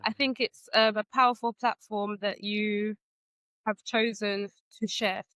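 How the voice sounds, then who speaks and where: Bright-voiced woman guest telling a story. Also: medium pitch, little pitch movement, measured pace, smooth, no echo. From Trinidad. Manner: reflective, storytelling. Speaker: guest scholar. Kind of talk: conversational interview.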